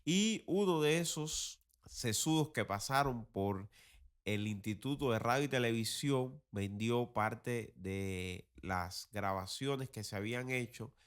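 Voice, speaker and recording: Low-voiced Cuban man, informally explaining. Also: slow, smooth. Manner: discursive, didactic, emphatic. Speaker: podcast host. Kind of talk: informal explanatory talk on radio history.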